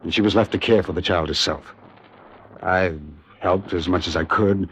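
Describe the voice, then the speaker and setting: An actor playing a guarded, bitter, self-justifying family friend in a 1950s radio detective drama. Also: male voice, deep voice, steady tone, normal speed, a bit bright, breathy edge. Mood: defensive, disdainful. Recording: muffled vintage radio recording, dry studio.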